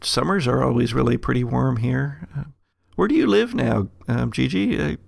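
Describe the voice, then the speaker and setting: A man's voice: medium pitch, lively intonation, normal speed, vocal fry, slightly rough, no echo, studio quality, full character voice. A man playing a friendly, spacey woman in a tabletop game, chatty and hesitant.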